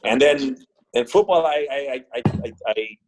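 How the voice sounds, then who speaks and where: Husky man from Puerto Rico, halting. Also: mid-range voice, expressive. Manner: halting, repeats words when thinking. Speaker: wrestler guest. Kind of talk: casual podcast chat.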